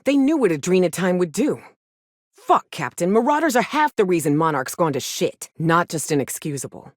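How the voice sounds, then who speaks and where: A woman's voice: fairly low pitch, sing-song, moderate pace, no room sound, clean studio sound. An actress playing a jaded, hard-drinking frontier mercenary woman in a video game, blunt, sardonic and defiant, with salty phrasing. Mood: angry. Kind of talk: video game character dialogue.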